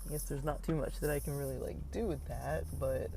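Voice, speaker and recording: A man's voice: a little high, steady tone, brisk, creaky, dark tone. A young man talking casually in a personal vlog, hesitant and rambling with many fillers, his throat slightly scratchy. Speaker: vlogger.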